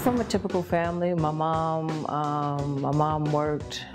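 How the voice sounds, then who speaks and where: A deep-voiced woman from Long Beach talks about her family in a measured, deliberate way. She is a spoken word poet interviewed for a documentary. Soft music runs underneath. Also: fairly even intonation. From Long Beach, California.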